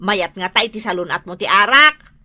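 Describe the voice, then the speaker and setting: A woman's voice: medium pitch, animated, moderate pace, bright, forceful tone. A woman with an Ilocano accent playing a practical, nagging wife and mother who lectures her drinking husband in a radio comedy drama. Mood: scolding.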